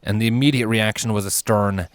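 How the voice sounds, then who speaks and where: A man reading scripted fantasy fan fiction aloud as a narrator, in measured, expressive storytelling. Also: on the low side. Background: background music.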